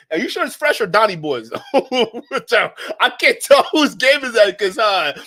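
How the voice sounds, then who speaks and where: Man, slight nigerian accent, live-stream commentary host, mocking, laughs a lot, bright voice. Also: high voice, very animated, takes it slowly. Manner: mocking, laughs often, many restarts.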